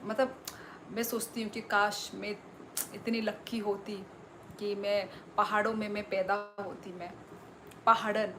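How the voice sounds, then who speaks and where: A woman's voice: mid-range voice, noisy background. A young woman from Tripura with a Northeast Indian accent, chatting casually on a live stream while reading viewer comments aloud. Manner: chatty, reads out viewer comments and thanks them.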